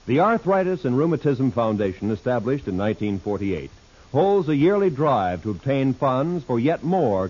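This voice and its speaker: A male American announcer from old-time radio. He reads formally with polished emphasis and a very mobile pitch. The recording is muffled with age. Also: darkish tone.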